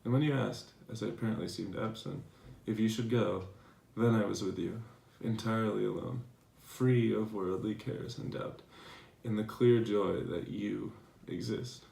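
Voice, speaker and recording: A man playing a devoted philosopher reading his tender love letters aloud in a measured, earnest dramatization. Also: fairly low pitch, steady tone, creaky, warm tone. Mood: tender, adoring. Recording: echoey room, distant mic, faint noise.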